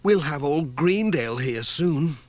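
A motherly village woman, played by a man in a storybook reading. She is sensible and a little fussy, with a raised, expressive pitch. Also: unhurried, no room sound. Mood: mildly worried.

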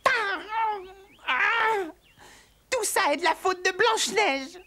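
A woman's voice: very high voice, lively intonation, slow pace, sharp tone, full character voice. A woman voicing a stubborn young male dwarf in a French cartoon dub, with a grumpy, drawling manner. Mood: sulky. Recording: music under the voice.

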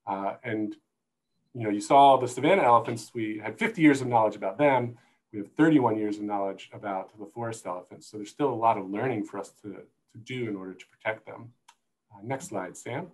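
A middle-aged American man gives an informal presentation as a guest expert. His voice has an average pitch. He speaks into an earphone headset on a video call from indoors. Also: poor audio. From United States. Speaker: zoo conservation manager, guest speaker. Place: room indoors.

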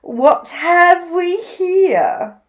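A woman's voice: extremely high pitch, expressive, drawn-out words, voice pushed up, exaggerated voice. A woman voicing a graceful female emu in a storybook, fluttery, sighing and theatrical. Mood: airy, fluttering.

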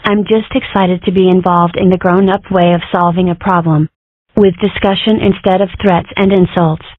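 A woman's voice: on the low side, steady tone, moderate pace, no echo, close to an everyday voice. A woman voicing wry female foxes in a comic strip dub, with a dry, stilted reading. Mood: earnest. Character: wry young fox girl and her fox mother. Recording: muffled, narrow phone-like sound, close and dry.

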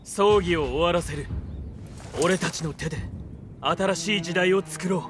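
An actor playing a young warlord in a video game, informal and reluctant yet resolute. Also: male voice, sits high, a little slow, background noise. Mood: weary, resolute. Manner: informal, laid-back yet earnest. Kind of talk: dramatic Japanese video game cutscene acting. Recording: studio voice over loud game music.

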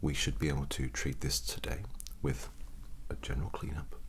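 A young British man speaking in a gentle, breathy near-whisper, reassuring and unhurried, as a doctor in an ASMR roleplay. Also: very deep voice, level tone. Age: young adult. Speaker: ASMR performer playing a doctor. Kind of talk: soft-spoken ASMR medical roleplay.

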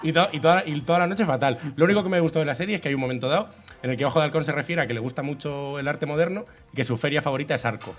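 A sarcastic man fires off crude jokes on a comedy podcast. He talks fast in a slightly raised voice at a boom mic, and soft music plays behind him. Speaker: co-host. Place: small dark bar stage with live audience. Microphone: desk mic on boom arm.

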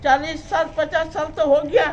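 A woman's voice: high-pitched, fairly even intonation, normal speed, highs cut off, over a noisy crowd. An older woman, a grandmother and local resident, speaking in a weary, pleading way.

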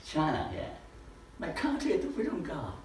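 A man's voice: on the high side, wildly swooping pitch, normal speed, a little mellow, a little hiss or hum. An older man giving an informal lesson in a living room, asking rhetorical questions and gesturing broadly, recorded by a camera across the room. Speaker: lecturer. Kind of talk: informal teaching talk. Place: living room with projector screen. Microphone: far camera. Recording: echoey room from far off.

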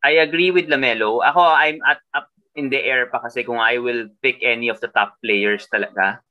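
A man's voice: medium pitch, fairly quick, lo-fi sound. A young Filipino man co-hosting a fantasy basketball show over a video call, chatting casually and mixing Tagalog with English. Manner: switches between Tagalog and English, thinking aloud. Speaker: fantasy basketball podcast co-host. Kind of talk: casual chat.

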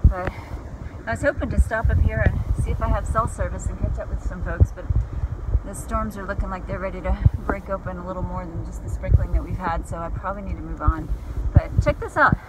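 A woman's voice: mid-range voice, brisk, heavy background noise. A middle-aged American woman, tired, talking casually as she records a trail vlog outdoors on a phone at arm's length. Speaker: thru-hiker vlogger. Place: outdoors on a wooded mountain trail.

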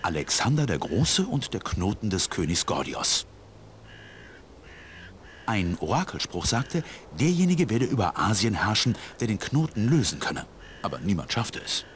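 An elderly village priest in a cartoon adventure game. The voice is low and very animated, with kindly, measured storytelling. Faint noise and crows sit behind it. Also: male voice. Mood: scholarly storytelling. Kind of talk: scripted cartoon game dialogue.

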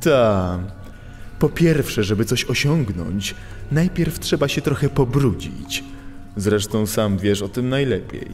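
A suave, smug villain cat for a children's radio play. He draws his words out slowly with big swoops in pitch. A long tunnel reverb surrounds him. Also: lively intonation, a little mellow, soft background music. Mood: haughty. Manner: theatrical, smug, drawn-out. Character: suave, menacing cat thief, villain boss. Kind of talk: children's audio drama acting. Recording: long echo of a sewer tunnel.

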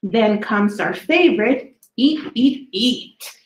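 Lady doing a kids dino book read aloud, really slow n deliberate, echoey room. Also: on the high side, animated, a little hiss or hum. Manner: slow, deliberate delivery with drawn-out words for young listeners. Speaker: children's story reader. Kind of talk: read-aloud of a children's dinosaur poem book. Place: small indoor room.

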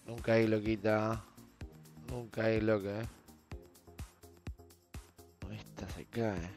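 Male streamer, young, Argentine accent, hoarse and lowish, slangy offhand chat over music. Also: little pitch movement, slow, a little mellow. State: hoarse from shouting. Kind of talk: casual gaming video commentary. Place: small room at home, indoors.

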